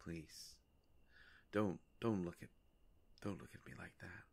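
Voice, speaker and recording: A man playing a courteous, world-weary immortal vampire in an intimate roleplay monologue, musing politely and haltingly with long pauses. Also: deep voice, vocal fry, a little mellow, breathy edge, full character voice. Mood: gently reassuring.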